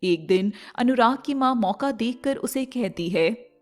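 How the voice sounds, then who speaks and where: High-voiced woman narrating a story. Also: animated, measured pace, a little mellow.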